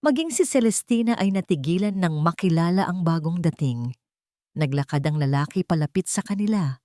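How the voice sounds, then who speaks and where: A woman with a slightly low voice narrates a dramatic story. Her intonation moves widely and expressively, and the recording is dry and close, with no room sound. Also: animated, normal speed, mellow, dry recording.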